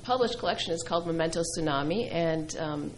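A middle-aged woman who grew up speaking Greek, a poet answering interview questions thoughtfully, with many pauses and fillers. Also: deep voice, a little hiss or hum, low-quality recording. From New England. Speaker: poet and filmmaker guest.

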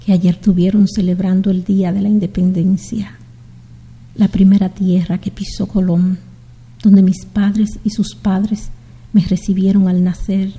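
A middle-aged woman from the Dominican Republic hosting a community radio show, speaking in an unhurried, heartfelt way as she greets her listeners. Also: mid-range voice, level tone, mellow, husky, long reverb tail. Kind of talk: slow, warm community radio sign-off.

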